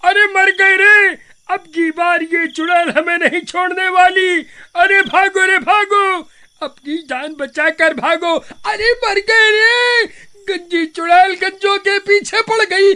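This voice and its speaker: A man's voice: extremely high pitch, slow, bright, forceful tone, full character voice. A man playing a cowardly, panicky village barber in a cartoon horror story, wailing and exclaiming.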